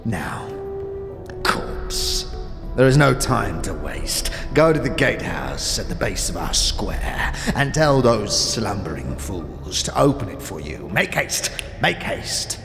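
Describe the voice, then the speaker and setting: Sly old crime boss, fantasy audio drama, slow taunting delivery that swoops around and sinks to whispers, music behind. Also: male voice, on the low side, sing-song, fairly bright tone, heavily acted. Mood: scheming, urgent. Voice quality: creaky, often dropping into breathy whispers. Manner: theatrical, taunting, drawn-out phrases with street slang. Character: withered, sly, contemptuous old male underworld boss. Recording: long hall reverb, music behind.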